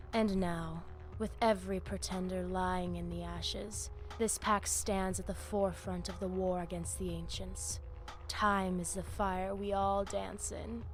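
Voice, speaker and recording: A woman voicing a proud, scornful vampire war leader in a video game, measured and oratorical, dropping in Spanish words. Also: medium pitch, natural voice.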